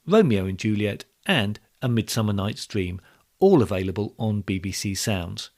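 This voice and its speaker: A British man hosting a podcast, reading scripted closing notes in a measured, polite and orderly way. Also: on the low side. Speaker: podcast host. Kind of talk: scripted podcast outro narration.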